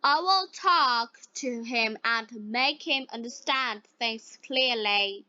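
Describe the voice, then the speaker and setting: A woman voicing a wise, kindly male saint from a storybook, in a measured, instructive manner. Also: fairly high pitch, sharp tone, no echo, dull audio, full character voice. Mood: calm, instructive.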